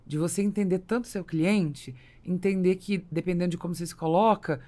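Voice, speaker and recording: Fast-talking Brazilian woman podcast host. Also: fairly low pitch, fairly even intonation, warm tone. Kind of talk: casual business podcast conversation.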